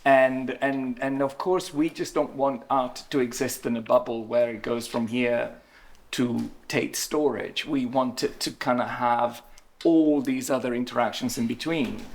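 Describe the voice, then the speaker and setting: A man's voice: medium pitch, fairly even intonation, normal speed. A man speaking on a symposium panel, reflective and stop-start, often repeating his words. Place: event hall with audience.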